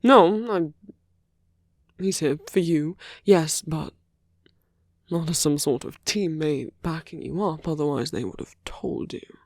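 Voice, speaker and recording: A suave male villain, played by a voice performer in a romantic ASMR audio drama. The voice has a gravelly fry and sits very dry and close. Phrases come deliberately, hushed and broken by pauses, in a protective and persuasive manner. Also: medium pitch, no echo. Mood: accusing, concerned. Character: suave male villain urging a captured hero to flee.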